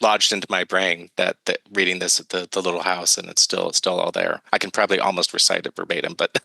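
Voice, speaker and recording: A man in a podcast interview, reminiscing with gentle self-mocking humor. His voice is very deep yet bright and forceful. The sound is close and dry. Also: level tone, fast talker, vocal fry, no echo, low-quality recording. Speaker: guest, early childhood educator.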